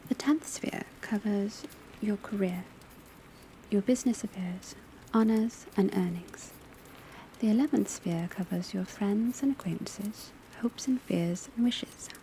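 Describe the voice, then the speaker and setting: A woman playing a professor of divination at a witch school, lecturing in a soft, breathy, measured whisper in an ASMR roleplay. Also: medium pitch, close to an everyday voice. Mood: calm, instructive. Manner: measured, instructive, hushed. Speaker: teacher. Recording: close ASMR recording with background ambience noise. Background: crackling fireplace, pencil writing, rain on rooftop.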